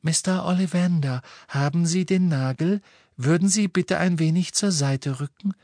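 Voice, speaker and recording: A gentle, helpful teenage girl acted by a male reader in a storybook. The voice is raised in pitch, dreamy, polite and unhurried. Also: no echo, natural voice. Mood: gentle, calm.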